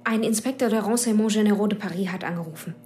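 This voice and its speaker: A woman's voice: mid-range voice, rapid-fire, a little mellow, a little hiss or hum, lightly acted. An actress playing a tough, guarded young policewoman who answers in terse, controlled phrases in a radio drama. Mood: urgent. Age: young adult.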